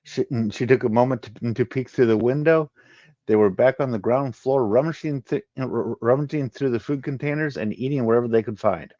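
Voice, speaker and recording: A man reading a sci-fi adventure story aloud in a halting way, stumbling and repeating words. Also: mid-range voice, warm tone, no room sound, highs cut off. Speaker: reader of a web story, channel host.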